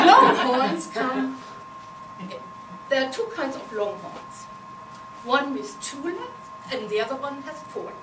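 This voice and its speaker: A woman with a German accent delivers a humorous contest speech, teasing and playful, with slightly non-native phrasing. Her voice sits high and swings widely in pitch. She is heard from a distance in an echoey hall, with background noise. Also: sing-song, slow pace, pauses often. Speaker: contest speaker. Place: meeting hall with audience. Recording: echoey hall from far off, noisy.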